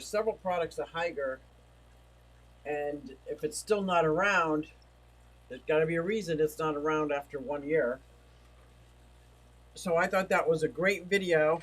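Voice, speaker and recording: A man hosts a relaxed livestream from home, rambling between topics and reading out messages from his chat. His voice sits a little high for a man and he feels it starting to give out. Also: a little slow, long pauses, low-quality recording. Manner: rambling, chatty, reads chat messages aloud. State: losing his voice. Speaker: livestream host. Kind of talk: casual livestream chat reading viewer comments.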